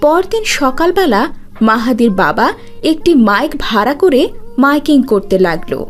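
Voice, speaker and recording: A woman narrates a cartoon story. Her voice is high and very expressive, delivered dramatically in short phrases. Faint music plays behind her. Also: moderate pace.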